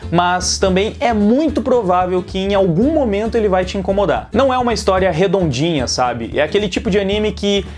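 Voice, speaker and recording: A Brazilian Portuguese-speaking man with a fairly high voice gives casual review commentary. He explains things informally and conversationally, like a channel presenter, over background music. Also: animated, a little fast, few pauses, a little mellow.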